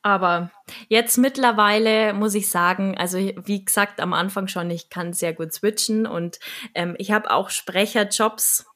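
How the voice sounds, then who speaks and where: A woman from Munich with a Bavarian accent talks in a relaxed conversational way. She tells stories with a lively tone and breaks into laughter often. Her pitch is average for a woman. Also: unhurried. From Munich, Germany. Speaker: radio-trained voice speaker. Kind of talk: casual conversation.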